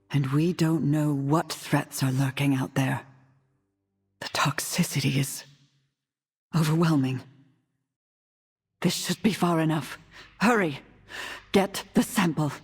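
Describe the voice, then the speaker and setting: An actress playing a gentle, earnest undead noblewoman in a video game, with a breathy voice and formal, measured delivery. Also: deep voice, full character voice. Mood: wary. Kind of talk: video game cutscene acting. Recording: long reverb, music behind.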